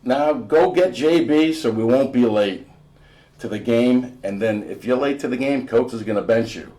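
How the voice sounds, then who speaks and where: A man's voice: medium pitch, normal speed, dark tone, natural voice, poor audio. Male reader as boastful ex-athlete father, affectionate and emphatic, storybook banter. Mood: reassuring, firm. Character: proud, teasing, loving father and former basketball star.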